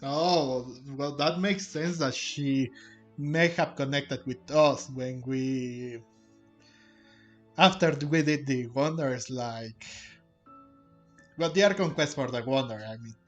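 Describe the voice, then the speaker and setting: A man giving casual reaction commentary on a game, halting as he thinks aloud. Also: mid-range voice. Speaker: gameplay video commentator. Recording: dull lo-fi microphone. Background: game music.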